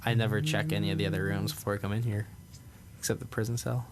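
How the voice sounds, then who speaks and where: A young man co-hosting a casual podcast, telling stories in a quick, animated way. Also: lowish voice, very noisy. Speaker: podcast co-host. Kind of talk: casual chat.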